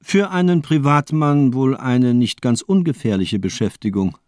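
A man's voice: medium pitch, normal speed, darkish tone, no room sound, clean studio sound, lightly acted. A man playing a worldly, composed surgeon with hidden menace in a radio drama, speaking in a polished, formal manner. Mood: smooth, guarded.

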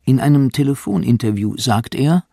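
Casting: male narrator for a scripted literary radio feature, mid register, creaky and husky, dry studio sound. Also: mid-range voice, moderate pace, mellow, dry recording.

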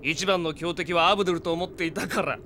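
Cocky, high-pitched gunslinger villain. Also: male voice, steady tone, sharp tone, a little hiss or hum. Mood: gleeful. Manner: swaggering, taunting, drawn-out delivery. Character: cocky, mocking male gunslinger villain, cold-hearted. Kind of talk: anime audio drama acting. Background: prominent dramatic music.

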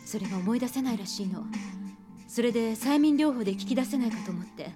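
An actress playing a composed female investigator who reasons aloud calmly in a radio drama. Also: fairly high pitch, music in the background, natural voice. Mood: measured. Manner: calm, measured, reasoning aloud.

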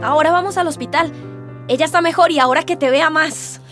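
A woman acting an excited, affectionate younger sister in a radio soap. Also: high-pitched, fairly quick. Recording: studio radio drama with music behind.

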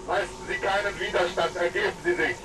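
Male actor as commanding soldier in radio drama: high-pitched, distant from the mic, noise behind. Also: steady tone, a little fast, natural voice. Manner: loud, commanding. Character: commanding male army officer demanding surrender. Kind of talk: German radio play dialogue.

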